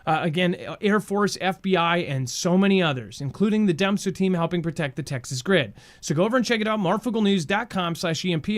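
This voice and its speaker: A man with a notably high voice hosts an independent-style news show. He delivers commentary in a conversational way, speaking informally and directly to the people watching. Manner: informal, addresses viewers directly.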